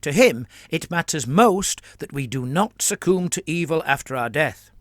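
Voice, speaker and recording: A man's voice: on the high side, animated, no echo, clean studio sound, voice pushed up, natural voice. Man voicing an evasive, rambling priest. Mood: placating. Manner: measured, rambling, placating. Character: evasive scheming male priest, calm and wordy.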